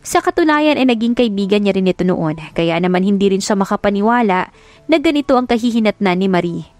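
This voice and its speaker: Female narrator for scripted romance audiobook, medium pitch, dramatic storytelling, dry studio-close sound. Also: expressive, fast, a little mellow, no echo, soft background music.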